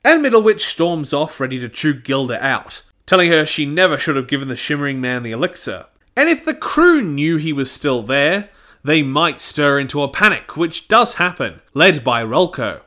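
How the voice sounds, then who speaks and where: A man's voice: on the high side, sing-song, normal speed, bright, forceful tone. A man delivering a scripted review narration in a blunt, sweary, opinionated manner. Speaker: reviewer, channel host.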